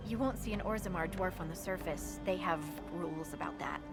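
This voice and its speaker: A woman's voice: lowish voice, a little fast, natural voice. A woman playing a plain-spoken, practical dwarf scout in a video game, with a dry manner. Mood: wry, practical. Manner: dry, matter-of-fact. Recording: loud music behind the voice.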